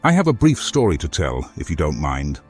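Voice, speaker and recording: A man playing a courteous, earnest former foe in a fantasy game, speaking formally and deliberately as he offers help. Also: very deep voice, wildly swooping pitch, soft background music, full character voice. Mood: earnest, storytelling. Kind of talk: video game character dialogue.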